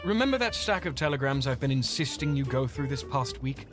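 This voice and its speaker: Practical gentleman sidekick played by a man, dry, scolding, exasperated, mid-pitched, plucked music underneath. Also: animated, a little fast, lightly acted. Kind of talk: audio drama acting.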